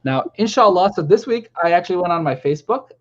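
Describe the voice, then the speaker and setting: Chatty guy running a group call, fast and rambly, dull call audio. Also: high-pitched, expressive. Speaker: stream host. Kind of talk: casual video-call chat. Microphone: video call.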